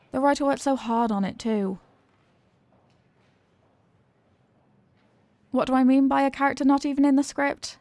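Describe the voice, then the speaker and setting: A flustered popular cheerleader girl with a secret crush, played by a young woman. Her voice sits fairly high and sounds very dry, with no room around it. She talks in a coy, dramatic way suited to an intimate ASMR roleplay. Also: a little mellow, no echo, natural voice. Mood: exasperated.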